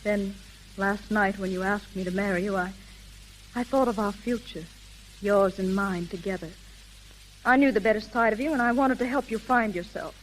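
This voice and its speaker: A woman's voice: medium pitch, normal speed, lightly acted. An actress playing an earnest, resolute young woman who runs a detective agency, in 1930s American radio drama style. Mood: earnest, tender. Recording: sizzling, noisy old 1930s radio recording.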